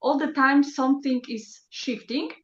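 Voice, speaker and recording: A woman speaking English as a second language in a group call, halting and reflective, searching for words. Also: high voice, steady tone, fairly bright tone. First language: not English. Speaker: session participant. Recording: echoey lo-fi call audio.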